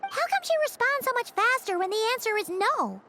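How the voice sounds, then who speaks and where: Squeaky, excitable little fairy girl. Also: brisk, noisy background, exaggerated voice. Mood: puzzled, impatient. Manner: childlike, outspoken, exclamatory. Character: small, excitable floating fairy girl companion. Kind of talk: animated game voice acting. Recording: loud game music behind.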